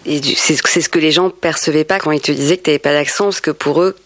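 Interviewer, mid pitch, gentle follow-up questions, dry close sound. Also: fairly even intonation, fast, no echo. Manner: gently probing, follows up on answers.

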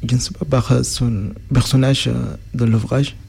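A man's voice: mid-range voice, fairly even intonation, unhurried, creaky, mellow, husky. A young man from Sudan, a native Arabic speaker, answering interview questions in French with frequent restarts as he searches for words.

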